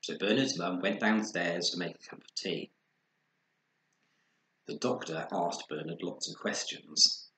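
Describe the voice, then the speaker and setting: A man narrating a children's story with expressive, dramatized delivery, recorded in an echoey room. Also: low-pitched, unhurried, long pauses. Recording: echoey room with faint noise.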